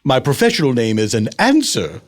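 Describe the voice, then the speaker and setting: Man doing a prim old-fashioned lady, haughty, imperious, lively swooping voice. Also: mid-range voice, a little fast. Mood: indignant. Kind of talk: improv comedy character.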